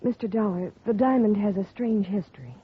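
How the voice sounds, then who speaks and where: An actress playing a grieving young woman whose father has been murdered, speaking softly and earnestly in a 1950s radio drama. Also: mid-range voice, a little fast, husky. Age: young adult. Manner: soft and earnest, recounting quietly. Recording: tinny lo-fi 1950 radio broadcast with faint hiss.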